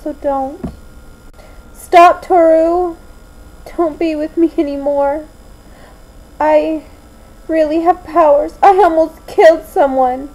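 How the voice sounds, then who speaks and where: Lady doing a solemn anime schoolgirl, high soft mellow voice, really unhurried, kinda gloomy. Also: steady tone, low-quality recording. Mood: sad, pleading. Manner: slow, deliberate, solemn delivery. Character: gloomy, soft-spoken teenage anime schoolgirl with eerie powers. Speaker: amateur voice actor auditioning. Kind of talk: anime fan dub audition, acted lines.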